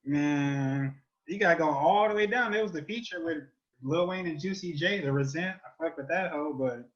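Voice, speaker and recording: An American man co-hosting a podcast, giving album reviews in casual, profane, animated and exasperated slangy banter. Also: a little high, normal speed, lo-fi sound.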